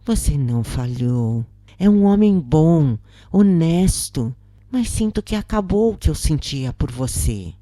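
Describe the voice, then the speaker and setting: A woman's voice: low voice, lively intonation, unhurried, warm tone, no room sound, studio quality. A woman voicing a frank, detached wife in a dramatised story reading. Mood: resigned. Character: detached wife falling out of love, frank, cool.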